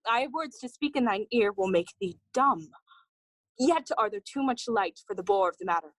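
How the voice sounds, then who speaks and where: A woman's voice: fairly high pitch, lively intonation, moderate pace, dry recording. A woman playing an earnest, loyal young scholar, reading dramatically in a classical audio play. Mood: earnest, intent.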